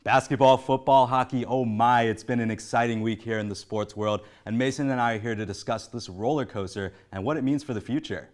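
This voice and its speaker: A man's voice: medium pitch, fairly even intonation, a little fast, hardly any pauses. A man co-hosting a sports talk show, moving between scripted setups and discussion.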